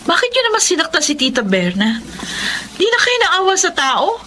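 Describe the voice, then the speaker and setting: Casting: college-age girl, high-pitched and piercing, defiant, wounded, radio soap delivery. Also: huge pitch swings, moderate pace, pushed and bright, slight background noise, heavily acted. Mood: indignant. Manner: pleading, indignant. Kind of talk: melodramatic radio drama acting.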